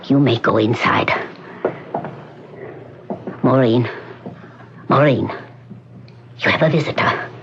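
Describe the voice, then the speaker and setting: An actress playing a doctor, speaking in a formal, measured, clipped way. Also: female voice, extremely low pitch, lo-fi sound, a little hiss or hum, heavily acted.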